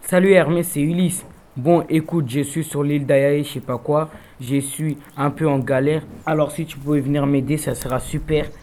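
A man's voice: on the high side, fairly even intonation, normal speed, a little mellow, lightly acted. A teenage boy playing a resolute Greek hero who talks like a modern, slangy youth in a school audio drama. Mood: casual, hopeful. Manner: colloquial, slangy.

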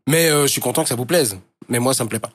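A man's voice: medium pitch, quick pace, breathy edge. An older man from Brussels, a radio guest talking candidly in a studio interview, full of fillers and restarts. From Brussels, Belgium. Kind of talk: casual interview chat.

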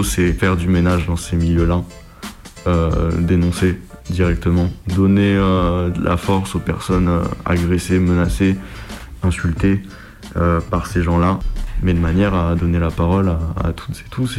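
Deep-voiced man, halting speech over music. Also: a little mellow. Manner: hesitant, halting, searching for words. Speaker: activist giving testimony. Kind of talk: spoken testimony, informal. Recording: music playing under the voice.

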